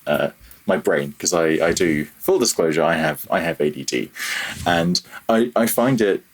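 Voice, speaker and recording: Very deep-voiced man, slightly husky, candid. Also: expressive, moderate pace. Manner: candid, self-deprecating, wry. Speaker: podcast guest, audio software developer. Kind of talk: casual interview talk.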